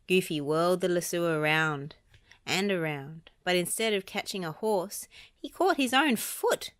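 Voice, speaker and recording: A woman narrates a children's audiobook. Her voice sits a little low for a woman, and she tells the story expressively. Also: lively intonation, a little slow. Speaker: storybook reader.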